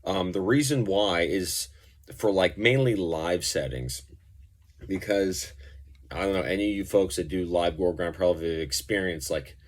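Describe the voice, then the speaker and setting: A man's voice: low voice, normal speed. A man giving a casual, informal gear demonstration, explaining things in a loose, rambling way as a musician and reviewer.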